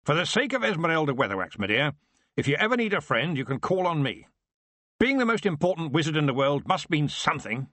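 A grand old wizard, as a male narrator puts him on, booming and brusque with no time for small talk. His husky voice swings widely in pitch, recorded close and dry. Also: very animated, fairly quick, fairly bright tone, dry recording. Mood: earnest.

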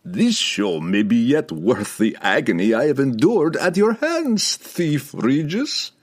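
Man playing a gloating villain with a rough, swooping voice. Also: medium pitch, sing-song, pitched above the performer's own voice, heavily acted. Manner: theatrical, commanding, drawn-out menacing delivery. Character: cruel, gloating male guildmaster villain, imperious and volatile. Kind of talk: fantasy audiobook character acting.

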